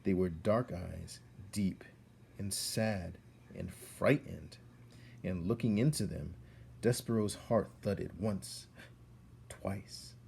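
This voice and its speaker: A man reading a storybook aloud as narrator, with expressive delivery. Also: low voice, slow pace, gravelly, a little hiss or hum.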